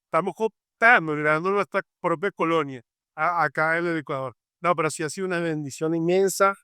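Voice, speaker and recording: A man's voice: on the high side, fairly quick, smooth, dry recording, clean studio sound. Venezuelan man hosting a faith podcast. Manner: warm welcoming, many fillers and restarts. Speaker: podcast co-host.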